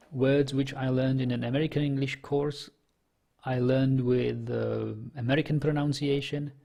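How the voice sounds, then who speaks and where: A man's voice: mid-range voice, creaky, mellow. A young man with a Czech accent, a native Czech speaker, thinking aloud as he commentates his online poker play and reads chat, hesitant and full of fillers. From Czech Republic. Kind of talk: casual commentary while playing and reading chat.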